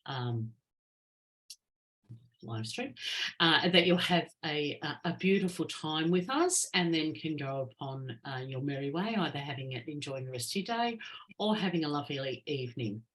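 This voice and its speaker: Low-voiced Australian woman hosting. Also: expressive, normal speed, a bit bright. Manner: friendly, hesitant with many fillers. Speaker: ritual host. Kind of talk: online ritual welcome.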